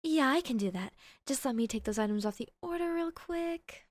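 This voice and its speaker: A woman's voice: high voice, animated, normal speed, no room sound. A woman playing a polite, chatty cashier in a roleplay audio, perky and accommodating with customer-service courtesy. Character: polite, chatty young female shop cashier.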